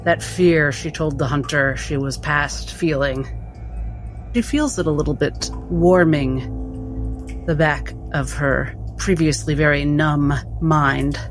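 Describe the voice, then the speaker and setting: A woman playing a tabletop roleplaying game, narrating her character in deliberate, measured phrases. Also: low-pitched, fairly even intonation. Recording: music behind the voice.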